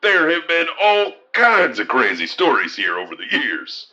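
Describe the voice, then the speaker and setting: A man's voice: medium pitch, huge pitch swings, a little slow, bright, forceful tone, muffled recording, exaggerated voice. A man playing a cryptic, professorial eyewitness who rambles hesitantly and pedantically about lore in an audio drama. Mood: musing, pedantic.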